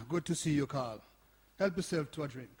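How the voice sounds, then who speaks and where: Guy playing older community centre man in a radio play, husky n challenging, big echoey hall. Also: medium pitch, expressive, moderate pace, darkish tone. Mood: businesslike. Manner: sighing, challenging, insistent. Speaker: actor in a live radio play. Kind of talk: radio drama acting before an audience. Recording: reverberant hall recording.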